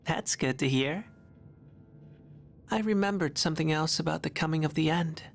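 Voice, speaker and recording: An actor playing a calm, gentle, mysterious young boy in a video game, speaking in a measured, quietly intimate way. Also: a little high, gravelly, faint music behind.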